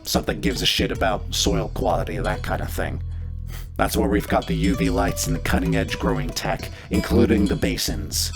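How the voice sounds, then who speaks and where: A man voicing a gruff, blunt cyborg mercenary boss in a tabletop game, with a rasping voice and a laconic, coarse, folksy manner. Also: fairly low pitch, big pitch swings, moderate pace, music in the background, exaggerated voice. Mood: gruff, businesslike. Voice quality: heavily gravelly, rasping.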